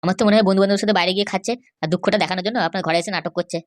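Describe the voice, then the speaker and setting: Woman doing a very fast-talking, opinionated cartoon helper. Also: mid-range voice, steady tone, natural voice. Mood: skeptical. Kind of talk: amateur cartoon dialogue.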